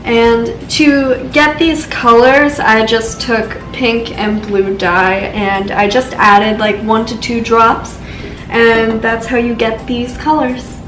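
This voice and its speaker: A woman's voice: on the high side, level tone, takes it slowly, background noise. A young woman with a stuffy, congested voice, chatting casually through a baking tutorial as the channel's host. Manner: chatty, lots of "so" and "just". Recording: roomy, from a distance, faint music.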